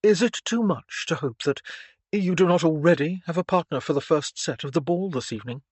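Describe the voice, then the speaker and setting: A narrator voicing a courteous, gently teasing young viscount in an audiobook, with measured gallantry. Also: mid-range voice, dry recording, close to an everyday voice. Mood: courteous, hopeful. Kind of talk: audiobook character dialogue.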